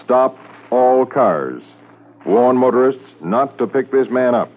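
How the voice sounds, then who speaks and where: Emphatic police dispatcher over tinny radio. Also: male voice, mid-range voice, animated, takes it slowly. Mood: urgent, commanding. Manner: emphatic, clipped, repetitive announcing. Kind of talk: 1950s radio drama acting. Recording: narrow noisy 1950s radio recording.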